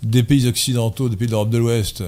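Male debate guest, mellow tone; learned, rambling, self-correcting delivery. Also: mid-range voice, flat delivery, a little fast. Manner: erudite, digressive, many fillers and self-corrections. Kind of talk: casual debate chat.

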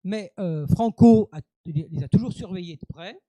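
A man with a fairly high yet deeply dark, mellow voice, speaking as a guest author on radio. He halts often, filling gaps and repeating words as he thinks. The sound is dry and close. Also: a little slow, no echo. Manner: hesitant, many fillers and repeated words. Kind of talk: radio interview answer.

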